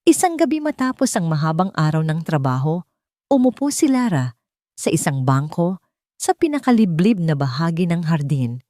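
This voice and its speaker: A woman's voice: low voice, darkish tone, no room sound, clean studio sound. A woman storyteller reading scripted narration for a romantic drama audio story.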